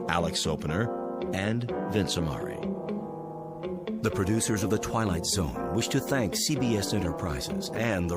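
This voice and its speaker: A man with an American accent reading scripted broadcast credits as the announcer of a radio series. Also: on the low side.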